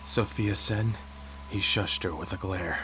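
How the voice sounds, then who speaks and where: A man narrating a scripted first-person horror story in a measured storyteller's delivery. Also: low-pitched, a bit bright, slight background noise, low-quality recording.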